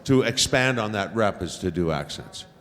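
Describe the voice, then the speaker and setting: A man's voice: lowish voice, expressive, a bit bright. A man with a big voice speaking hesitantly as a voice actor on a convention panel. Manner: rambling, hesitant, fills pauses with uh. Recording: hall reverb from convention room.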